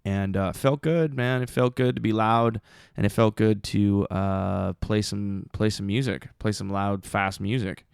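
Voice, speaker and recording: Gravelly, mousy-voiced man hosting a podcast. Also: fairly low pitch, fairly even intonation, a little slow, dry recording, studio quality. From Canada. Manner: rambling, with many "uh" fillers and casual swearing. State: voice still recovering from screaming at a show. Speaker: podcast host. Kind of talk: casual chat.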